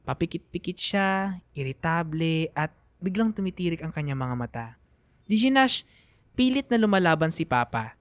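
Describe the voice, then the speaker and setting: A man's voice: a little high, expressive, moderate pace, smooth, no room sound. A man giving dramatic, scripted first-person narration for a radio drama in a heartfelt, emotional manner.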